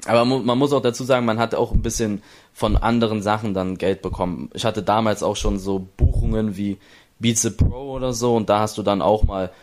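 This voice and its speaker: Young man, from Berlin, lowish voice, esports player, casual interview, rambling, restarts, mimics others. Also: fairly even intonation, fairly quick, darkish tone, slight background noise. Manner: rambling, many restarts, acts out others' lines.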